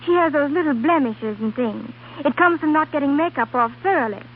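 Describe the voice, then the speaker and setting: A woman's voice: high voice, animated, a little fast. A woman playing a sensible, know-it-all Hollywood secretary in a 1930s radio commercial, lecturing and persuasive. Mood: earnest, coaxing. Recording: tinny 1930s radio, faint hiss.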